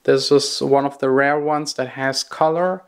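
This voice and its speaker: A man's voice: mid-range voice, fairly even intonation, a little slow. A young man from Germany with a German accent, narrating a personal vlog in an earnest, reflective way. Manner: earnest, reflective, plainly spoken. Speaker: vlogger, house DJ and music producer.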